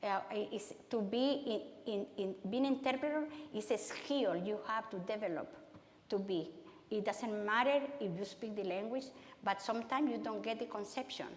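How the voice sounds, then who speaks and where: Spanish-accented woman in an echoey hall. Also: mid-range voice. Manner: conversational, halting, many restarts. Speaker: guest speaker. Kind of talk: speaking to a church audience. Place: church hall. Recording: echoey hall with long reverb.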